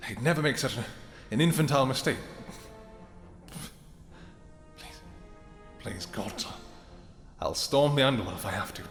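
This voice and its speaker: A man's voice: mid-range voice, a bit bright. Cavernous reverb, music underneath, male actor as possessive vampire king, formal archaic phrasing, theatrical fantasy roleplay. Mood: disbelieving grief. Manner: theatrical, archaic, formal speech with halting pauses. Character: regal, possessive vampire king, anguished and vengeful lover. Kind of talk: dramatic fantasy audio roleplay acting. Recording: echoey hall reverb with music.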